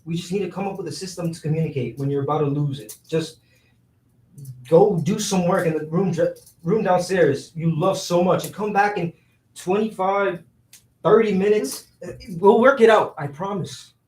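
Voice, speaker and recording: A man's voice: sits high, moderate pace, darkish tone, natural voice. A man acting a flustered, evasive young womanizer with a stammering, exasperated delivery in a staged play reading. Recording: roomy echo, distant mic.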